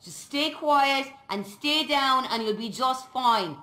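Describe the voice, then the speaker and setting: A firm but reassuring woman robbing a bank in a game. Her voice is forceful and high, in an echoey space. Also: expressive, takes it slowly, full character voice. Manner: firm, direct. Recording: roomy echo.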